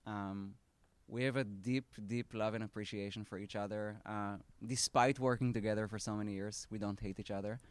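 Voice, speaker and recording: Israeli-accented man, low and dry. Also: steady tone, clear, resonant tone, dry recording. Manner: earnest, measured, with pauses and restarts. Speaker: startup founder guest. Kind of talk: live event Q&A talk.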